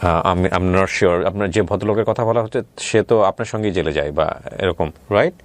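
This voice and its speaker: A man's voice: deep voice, normal speed, no echo. A Bangladeshi man hosting a conversational interview, asking probing questions and mixing English words into his speech. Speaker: talk show host interviewer.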